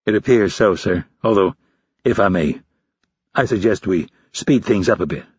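A man's voice: on the low side, moderate pace, breathy edge, no echo, highs cut off. A man playing a confident young male recruit in an audiobook, answering respectfully and without flinching. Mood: polite, unflinching. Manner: calm, respectful, matter-of-fact. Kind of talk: audiobook character voice.

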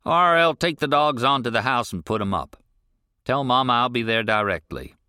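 A gruff, blunt older rancher with a drawl, pitched well above the reader's own low voice, as a man puts him on. He talks loud and exasperated, in a dry, close storybook reading. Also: very animated, normal speed, dry recording, full character voice.

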